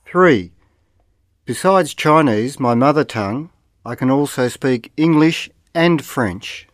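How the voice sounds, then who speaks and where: Man reading model answers slowly. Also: mid-range voice, lively intonation, dry recording, studio quality. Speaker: model answer reader in language course. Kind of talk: scripted read-aloud model answers.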